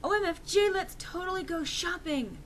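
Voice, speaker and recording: A woman's voice: very high voice, animated, normal speed, a bit bright, pitched above the performer's own voice. A woman voicing a cheerful, nonchalant teenage goth girl best friend in a story reading, perky, breezy and slangy. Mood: excited. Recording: noisy amateur home recording.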